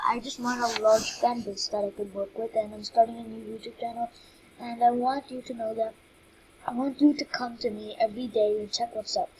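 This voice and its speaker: A woman's voice: on the high side, a little mellow. Rambling young woman on a bad mic. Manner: rambling, hesitant, many fillers. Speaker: new YouTuber. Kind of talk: casual vlog intro. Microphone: cheap microphone. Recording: faint background noise, poor mic.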